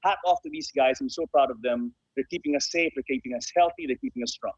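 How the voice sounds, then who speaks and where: A man's voice: mid-range voice, quick pace, slightly rough, no echo. A man talking enthusiastically as an interview guest, repeating phrases for emphasis. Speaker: guest, bobsled team president. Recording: dull, lo-fi call audio.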